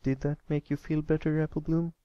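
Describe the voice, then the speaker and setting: Earnest little boy pony, played by a man, gentle and hesitant, lifted voice, storybook cartoon style. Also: a little high, level tone, brisk, smooth, dry recording, highs cut off, pitched above the performer's own voice, lightly acted. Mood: gentle, reassuring. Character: shy, earnest young male cartoon pony, comforting a friend.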